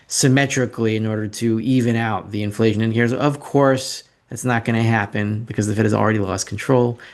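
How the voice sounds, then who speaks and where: A man's voice: fairly low pitch, a little mellow. A man hosting an informal financial commentary over slides, opinionated and sardonic, often repeating phrases for emphasis. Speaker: financial commentator and show host.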